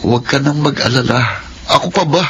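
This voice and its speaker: A man's voice: medium pitch, brisk, a bit bright, a little hiss or hum, lightly acted, rough recording. A man with a Filipino accent acting a struggling, proud young breadwinner and protective big brother in a radio drama. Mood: reassuring, tender. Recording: music bed behind the voice.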